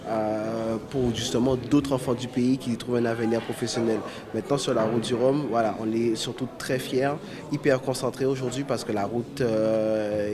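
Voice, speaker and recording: Earnest skipper outdoors among a crowd. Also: male voice, mid-range voice. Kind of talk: press interview. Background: crowd chatter.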